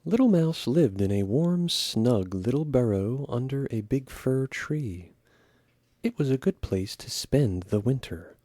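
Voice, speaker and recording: Guy reading a kids story, slow and lively, soft mellow breathy voice. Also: mid-range voice, no echo. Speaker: children's story reader. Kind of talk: expressive storybook narration.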